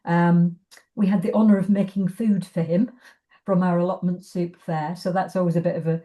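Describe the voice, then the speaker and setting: A woman with a fairly low, soft-edged, relaxed voice talks casually as an interview guest. She is chatty and hesitant, often feeling for the next phrase as she speaks. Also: normal speed. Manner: hesitant, chatty, thinking aloud. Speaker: arts organisation guest.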